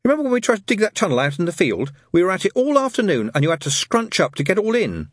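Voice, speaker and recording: Man putting on a high-pitched sceptical child. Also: very animated, fast, no echo, clean studio sound. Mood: doubtful. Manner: questioning, doubtful. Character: sceptical, sharp-witted child in a gang.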